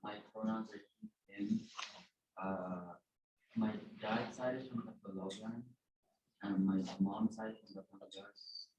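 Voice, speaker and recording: A man's voice: on the low side, expressive, measured pace, gravelly, darkish tone, slight background noise. A young male student introducing himself plainly, with a few hesitant fillers. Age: young adult. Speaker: student participant. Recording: dull, roomy call audio.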